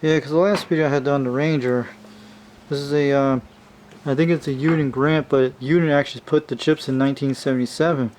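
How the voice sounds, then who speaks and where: A man's voice: medium pitch, steady tone, a little fast, darkish tone. A man giving a casual, rambling hands-on show-and-tell as a radio hobbyist, speaking informally to his viewers. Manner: informal, rambling, addressing viewers as you guys. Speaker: hobbyist showing a vintage CB radio. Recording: noisy amateur home video.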